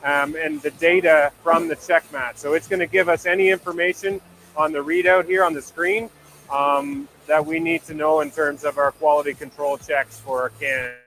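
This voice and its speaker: A man's voice: on the high side, steady tone, moderate pace, fairly bright tone, slight background noise, poor audio. A man explaining production equipment in a promotional video, in plain practical language. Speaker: brewery production worker overseeing brewing and packaging.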